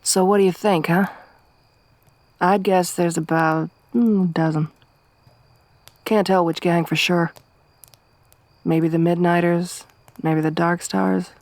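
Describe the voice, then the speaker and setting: Woman acting tough survivor girl, soft-spoken, gruff and teasing, flat delivery, lowish voice, ASMR roleplay. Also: takes it slowly, pauses often, dry recording. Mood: low-key, wry. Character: tough, wry young woman wasteland survivor.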